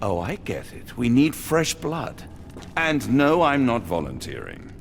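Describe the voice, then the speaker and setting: An actor playing a loyal, steadfast companion in a video game, speaking earnestly and formally with a British accent. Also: male voice, medium pitch, wildly swooping pitch, unhurried, gravelly, slight background noise, full character voice. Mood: reluctant. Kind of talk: dramatic video game voice acting. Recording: music behind the voice. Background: game music.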